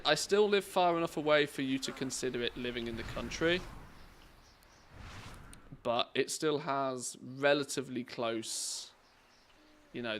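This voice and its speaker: A young man chats loosely with his viewers while he plays, rambling from one story to the next. His voice is gravelly and sits at an ordinary pitch, with a faint hum running underneath. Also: fairly bright tone. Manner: chatty and rambling, grumbles at the game. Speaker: streamer. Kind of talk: casual chat while playing a game.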